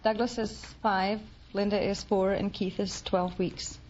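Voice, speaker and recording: A woman's voice: medium pitch, level tone, measured pace, a little mellow. Young Scottish woman on muffled old radio. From Isle of Man and Glasgow. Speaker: quiz show contestant. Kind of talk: conversational storytelling. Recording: muffled, noisy vintage radio recording.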